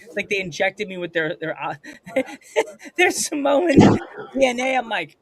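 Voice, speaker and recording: A man hosting a casual podcast chat, talking in an excitable, repetitive way and saying dude constantly. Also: sits high, a little slow. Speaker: podcast host.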